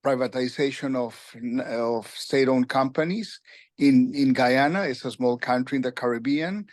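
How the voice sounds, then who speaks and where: A man in his sixties from Peru, a retired UN official telling his career story in an interview, with halting speech full of fillers and restarts. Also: medium pitch, dry recording, studio quality. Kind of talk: conversational interview.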